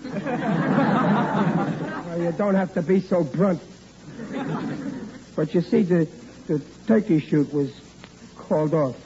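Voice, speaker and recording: A man's voice: a little high, slow pace, long pauses, a crowd in the background. An actor playing a blustering, stammering father who is scheming and flustered, in 1940s radio comedy style. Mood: sheepish scheming. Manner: stammering, repeats words, exasperated bluster. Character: bumbling, blustering sitcom dad, scheming and flustered. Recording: dull, noisy vintage radio recording.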